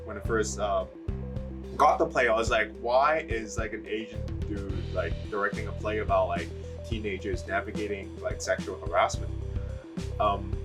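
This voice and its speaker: Young man, lowish voice, loose and chatty, heard from a distance. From Hong Kong. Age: young adult. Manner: relaxed, rambling, full of "like" and "you know". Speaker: filmmaker interviewee. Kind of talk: casual interview answers. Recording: mic at a distance, music underneath. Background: background music.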